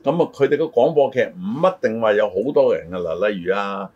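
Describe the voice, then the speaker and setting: A man's voice: lowish voice, expressive, brisk, a little mellow, husky, lo-fi sound. An older man, hosting a casual conversation in an explanatory way, readily interjecting. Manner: explanatory, often interjects to correct his partner. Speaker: talk show host.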